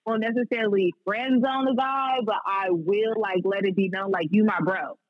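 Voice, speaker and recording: A woman's voice: mid-range voice, steady tone, normal speed, dry recording, highs cut off. A woman speaking candidly and slangily as a guest sports reporter in a casual podcast conversation.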